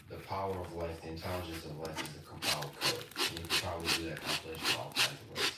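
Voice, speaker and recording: Male host, halting and self-critical, echoey distant recording with loud noise. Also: a bass voice, little pitch movement. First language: Russian. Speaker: podcast host. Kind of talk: casual conversation.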